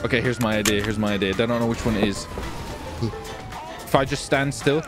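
A man's voice: medium pitch, normal speed, music in the background. A man doing casual, cocky and jokey roleplay chat while playing a video game. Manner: excitable, cocky, jokey, swearing. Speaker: streamer and roleplayer.

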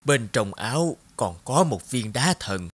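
A man's voice: mid-range voice, very animated, unhurried, breathy edge, dry recording. A man voicing a poor, kindly, mysterious old woman in a storybook tale. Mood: kindly, pleading. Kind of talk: storybook narration.

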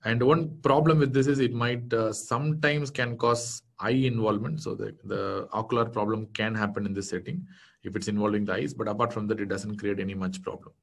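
Indian male lecturer, 30s, lowish tone, chatty and explanatory, full of fillers. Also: steady tone, brisk, vocal fry. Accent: Indian English. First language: Tamil. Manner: explanatory, conversational, many fillers and self-corrections. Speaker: medical lecturer. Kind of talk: online exam-prep lecture. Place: small indoor room.

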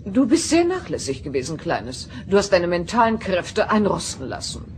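A woman's voice: on the low side, huge pitch swings, moderate pace, darkish tone, slight background noise. An actress playing a haughty, meddling middle-aged alien mother in a sci-fi audio drama, theatrical and condescending. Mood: imperious.

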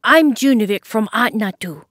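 A woman's voice: a little high, animated, moderate pace, dry recording, clean studio sound. A woman voicing a brave, polite young boy explorer in a children's story, with an earnest, eager manner. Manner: bright, earnest, courteous.

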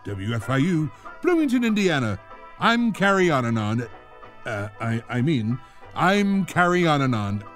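A man playing a flustered, bumbling American radio announcer with a halting delivery. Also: mid-range voice, sing-song, fairly bright tone, faint music behind. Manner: halting, repeatedly stumbling.

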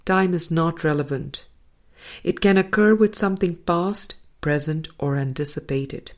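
A woman reading a spiritual book aloud in a measured, deliberate manner, pausing between phrases. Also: sits low. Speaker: audiobook reader.

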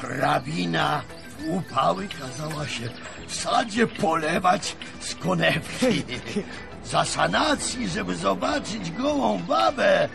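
An actor playing a crusty, bawdy old country grandfather in a radio play, with a creaky voice and a folksy, scolding, cajoling manner. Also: high voice, lively intonation, slow pace, full character voice. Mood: nostalgic, bawdy. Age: older. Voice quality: gravelly, creaky. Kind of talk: radio drama acting. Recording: music playing under the voice.

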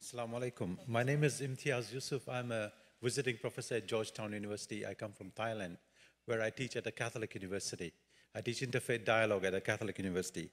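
Quick-talking man in an echoing hall. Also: fairly low pitch, steady tone, a bit bright. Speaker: professor, audience questioner. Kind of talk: direct question from the floor. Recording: long hall reverb.

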